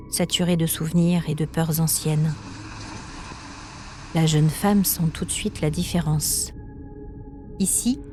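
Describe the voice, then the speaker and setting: A woman narrating a scripted story podcast in a measured, suspenseful, dramatic way, with short weighted phrases. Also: on the low side, noisy background. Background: music.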